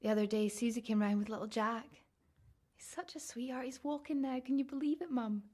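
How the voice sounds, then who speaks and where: A young woman with a fairly high voice, confiding and overwhelmed. An actress plays her as a grieving daughter in a radio play. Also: brisk, mellow, close to an everyday voice. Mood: tender, sad. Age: young adult. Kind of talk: radio drama monologue.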